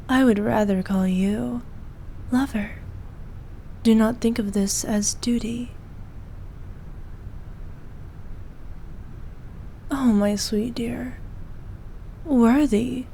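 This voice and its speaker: A woman playing a regal, possessive evil queen who dotes on her knight in an intimate ASMR roleplay, speaking formally and softly, at times in breathy whispers. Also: a little high, slight background noise. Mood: doting. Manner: regal, slow, archaic formal speech.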